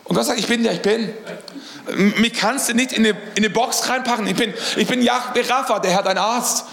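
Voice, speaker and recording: High-voiced earnest preacher in echoey hall. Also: male voice, a little fast, gravelly, fairly bright tone. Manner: earnest, repeats words while searching for phrasing. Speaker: pastor. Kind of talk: sermon.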